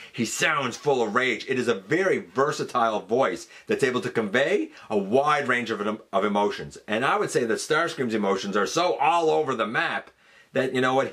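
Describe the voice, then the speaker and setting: A man's voice: mid-range voice, a bit bright. A man hosting a fan countdown, giving casual, enthusiastic and emphatic commentary. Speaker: host of a fan countdown show.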